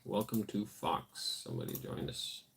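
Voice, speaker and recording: A man with a somewhat low voice hosts a late-night homestead livestream, tired and unhurried. He reads viewer comments aloud and answers in a relaxed, thinking-aloud way. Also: vocal fry, a little hiss or hum. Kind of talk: casual livestream chat, reading viewer comments.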